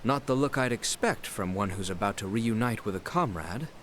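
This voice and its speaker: A man's voice: fairly low pitch, expressive, a bit bright, slight background noise. A man playing a reserved, serious courtly companion in a fantasy video game, with formal speech. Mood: concerned. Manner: formal, measured wording. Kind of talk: English video game voice acting. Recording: music behind.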